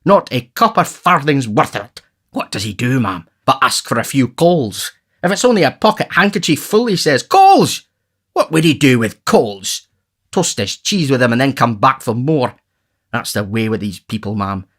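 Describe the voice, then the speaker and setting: Guy doing a pompous loud beadle, lecturing, full of himself, audiobook. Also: mid-range voice, very animated, moderate pace, voice pushed up. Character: pompous self-important middle-aged male parish beadle. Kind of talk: audiobook character voice.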